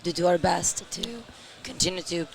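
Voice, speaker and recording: A middle-aged woman from Europe, hoarse and tired-voiced, answering interview questions slowly and in a measured way. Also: on the low side, crowd noise, rough recording. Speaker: interview guest, company founder. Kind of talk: casual interview.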